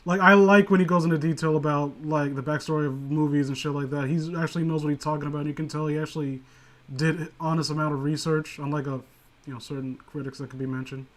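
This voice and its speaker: A man's voice: on the high side, fairly even intonation, fast talker. A man casually hosting an online group commentary, rambling through his opinions with plenty of swearing. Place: remote online voice call.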